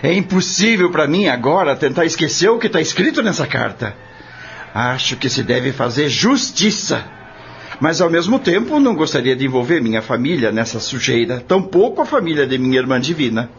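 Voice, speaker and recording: A man's voice: on the high side, sing-song, normal speed, a little hiss or hum. A man acting an earnest, anguished farm worker in an audio drama, with emotional, emphatic, plain country speech. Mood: troubled, earnest. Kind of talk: dramatic radio-novela acting. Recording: dull lo-fi audio drama recording. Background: prominent background music.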